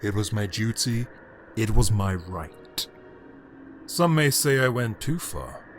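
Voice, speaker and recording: A cold, grandiose emperor of the universe, acted by a man, speaks in a fairly low voice over prominent music. His audio drama monologue is pompous and theatrical, with deliberate pauses and a condescending edge. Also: expressive. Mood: imperious, self-righteous. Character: grandiose, cold tyrant Emperor, formerly a heroic Time Lord.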